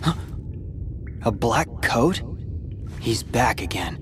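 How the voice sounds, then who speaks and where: A man playing an earnest, determined teenage boy hero in an animated game, serious and a little brooding. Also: mid-range voice, slow, slight background noise. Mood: guarded, serious.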